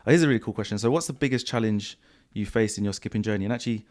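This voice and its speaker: A man hosting a podcast in a casual, relaxed way, with a croaky voice and slangy, repetitive phrasing. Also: on the low side, very fast. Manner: relaxed, repeats himself, slangy. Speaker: podcast host. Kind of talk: casual podcast chat answering viewer questions. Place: home studio.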